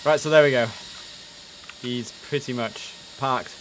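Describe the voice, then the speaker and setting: A man's voice: medium pitch, steady tone, a little slow, background noise. A man hosting a casual live game stream, chatting and musing aloud as he commentates. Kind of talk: casual live gameplay commentary on an air traffic control simulator.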